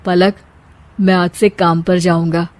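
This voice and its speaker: A woman's voice: fairly low pitch, level tone, unhurried, a little mellow, voice pushed down, natural voice. A woman voicing a simple, meek young husband with a humble, guileless way of talking in a moral story. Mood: resolved. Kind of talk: Hindi moral story dramatization.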